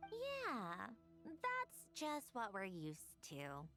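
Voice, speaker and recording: A woman's voice: mid-range voice, wildly swooping pitch, extremely slow, bright, forceful tone, faint music behind, exaggerated voice. A woman voicing a prickly, melancholy young female machine in a video game, sardonic, weary and introspective. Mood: wistful.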